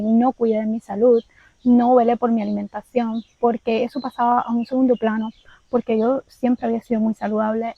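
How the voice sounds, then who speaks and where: High-voiced Cuban woman telling her story. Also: no room sound, dull audio. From Cuba. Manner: candid, reflective, speaks directly to viewers. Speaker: vlogger. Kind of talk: personal vlog storytelling.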